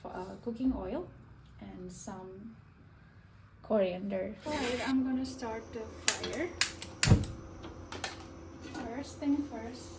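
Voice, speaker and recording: A woman's voice: a little high, takes it slowly, vocal fry, pauses often. A young woman from Indonesia explaining a home cooking recipe step by step in a casual, friendly way. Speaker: cooking vlogger. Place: home kitchen, stove frying. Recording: distant mic, audible sizzling kitchen noise.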